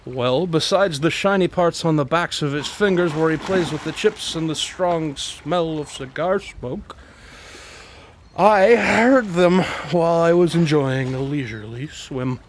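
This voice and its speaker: Unflappable eccentric detective, radio drama, smug, pedantic, a bit high-pitched, faint noise behind. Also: male voice, a little slow. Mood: self-assured. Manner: breezy, self-assured, pedantic.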